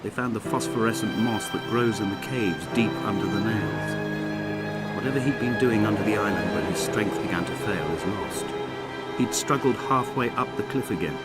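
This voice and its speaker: An actor playing a lone, grieving castaway, narrating in a measured, solemn voice as a literary game monologue. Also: male voice, on the low side, lightly acted. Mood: somber, reflective. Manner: brooding, measured, solemn, poetic delivery. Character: lone, grieving, injured male castaway narrating his island exile. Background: prominent music.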